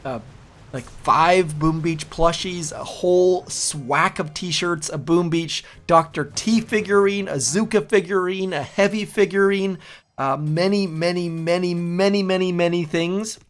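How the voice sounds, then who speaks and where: A man's voice: sits high, unhurried. A middle-aged man with a youthful-sounding voice, hosting casual gameplay commentary in a chatty, rambling way and repeating his words. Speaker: game channel host.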